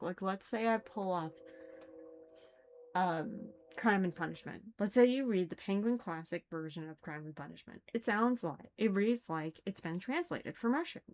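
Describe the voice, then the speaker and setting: A woman giving casual, critical commentary, thinking aloud haltingly and repeating phrases for emphasis. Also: mid-range voice, lively intonation. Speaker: reader commenting on a religious text.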